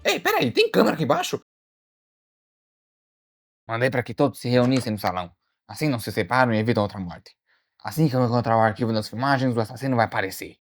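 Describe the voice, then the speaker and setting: A man acts out an earnest detective from an animated mystery. He talks quickly in a theatrical, brooding way with slangy asides, and music sits under his voice. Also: mid-range voice. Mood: puzzled, alarmed. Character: earnest amateur detective, brooding and easily startled. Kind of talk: dramatic monologue, animated short voice acting.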